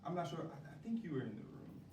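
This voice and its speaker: A man's voice: on the high side, sing-song, fast talker, mellow, far from the mic. A man speaking earnestly and reflectively in a preacherly way, quoting scripture during an interview as a production manager and acting coach. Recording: roomy echo, off-mic, clear background noise.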